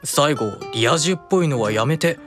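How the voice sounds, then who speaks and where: A man playing a gentle, patient, softly teasing angel in an improvised audio drama, with a calm, soothing manner. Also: fairly high pitch, normal speed, over music, lightly acted. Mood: amused, puzzled.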